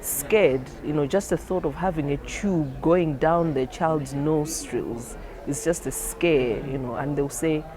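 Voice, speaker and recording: A woman's voice: low-pitched, a little slow, few pauses, crowd noise. A woman clinician speaking conversationally as she explains things for a training film.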